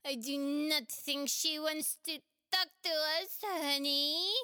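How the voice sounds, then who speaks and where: A determined female bird from a storybook as a woman acts her out speaks loudly and emphatically, stretching her words out slowly. Her voice rings high and bright. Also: steady tone, no echo, studio quality, exaggerated voice. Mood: emphatic.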